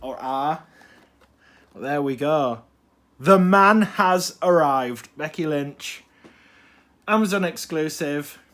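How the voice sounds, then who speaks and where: A man with a cold talks on in a chatty, eager way, often correcting himself as he goes. His voice sits fairly high. He is recorded from a distance in a room that echoes. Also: slow, pauses often, a bit bright. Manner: chatty, enthusiastic, self-correcting asides. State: has a cold. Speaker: toy collector vlogger. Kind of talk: casual unboxing chat. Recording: roomy, distant mic.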